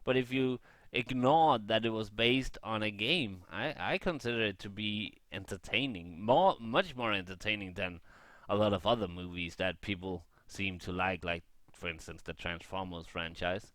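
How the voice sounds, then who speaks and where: A man with a fairly low, clean voice talks casually as a gaming vlogger. He rambles through his review without a script, often halting to think aloud. The sound is close and dry, with very little room. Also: a bit bright, no echo.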